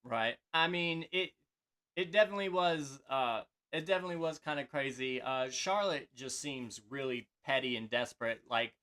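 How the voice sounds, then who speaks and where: A man's voice: medium pitch, normal speed, pushed and bright. A man co-hosting a casual wrestling podcast, rambling with many fillers and restarts. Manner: rambling, filler-heavy, hesitant. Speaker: podcast co-host.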